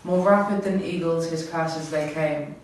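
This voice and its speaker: A man's voice: a little high, fairly even intonation, a little slow. Very echoey young man reading verse. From Ireland. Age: teenager or young adult. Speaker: student reader. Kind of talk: poetry recitation, read aloud. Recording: echoey, distant room recording with faint noise.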